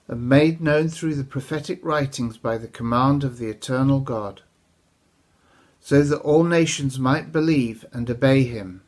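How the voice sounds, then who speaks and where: An older man reading a Bible passage aloud as a church reader, recorded in a room at home. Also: mid-range voice. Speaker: scripture reader.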